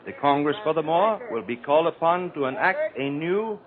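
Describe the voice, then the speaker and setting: A man giving formal 1930s radio news commentary in long, measured sentences. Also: on the high side, animated. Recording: tinny 1930s radio with crowd behind.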